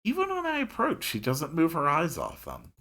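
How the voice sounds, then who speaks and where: A man reading a story aloud in an expressive narrating style. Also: on the high side, normal speed.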